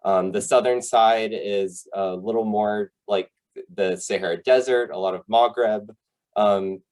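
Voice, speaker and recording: A man's voice: lowish voice, steady tone, unhurried, darkish tone. A man from the United States, a psychologist giving an informal online presentation in a polite, conversational, somewhat hesitant way. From United States, Tennessee.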